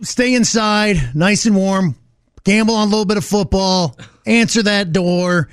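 A man's voice: high-pitched, a little slow, smooth, no room sound. A middle-aged American man co-hosting a casual radio show, emphatic, opinionated and joking, close on a studio microphone.

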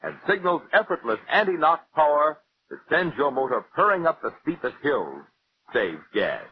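A man's voice: big pitch swings, moderate pace. An American man announcing and reading an advertisement in polished 1940s radio style. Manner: polished sales pitch. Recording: muffled old radio broadcast, hiss.

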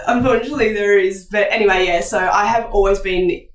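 A woman's voice: medium pitch, fairly even intonation, a little fast, recorded from a distance. A woman with an Australian accent, a nutritionist guest chatting casually on a podcast, laughing easily and restarting sentences. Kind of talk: casual interview chat. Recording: roomy sound, voice off the mic.

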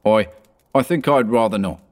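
A man's voice: lowish voice, moderate pace, natural voice. A man voicing a frightened older miser in a storybook reading, humble, imploring and faltering. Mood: faltering, pleading.

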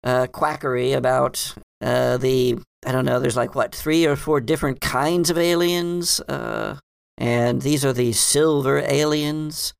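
Man acting bookish librarian, creaky voice, halting and rambling, earnest, tabletop roleplay, dry sound. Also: medium pitch, fairly even intonation, dry recording. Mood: hedging, dismissive. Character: hesitant, bookish librarian, earnest and mildly dismissive. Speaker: game master. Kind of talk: improvised in-character tabletop roleplay.

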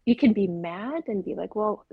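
A woman from Afghanistan speaking with earnest conviction on a casual podcast, her voice husky and a little breathy. The sound is dry and slightly muffled, with little room around it. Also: mid-range voice, expressive, moderate pace, dry recording. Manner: earnest, opinionated, spiritual talk. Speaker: podcast co-host.

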